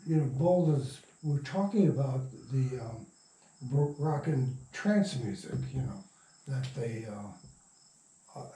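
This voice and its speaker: An older man telling stories in a casual interview, halting and meandering, often trailing off mid-thought. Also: mid-range voice, mellow. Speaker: interviewed radio play writer and producer. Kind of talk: rambling casual interview storytelling. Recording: roomy, distant from the mic.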